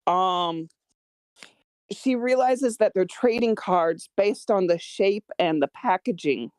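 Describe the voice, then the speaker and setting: A woman's voice: mid-range voice, slow, darkish tone, no echo. A woman talking casually and hesitantly about her own script, often stammering as she explains her choices. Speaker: screenwriter discussing own script. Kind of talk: casual feedback discussion.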